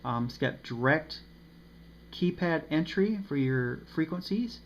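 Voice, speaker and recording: A man giving a casual, unscripted review of a radio, pausing often with ums and uhs. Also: on the high side, animated. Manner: hesitant, many ums and uhs. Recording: slightly dull with faint noise.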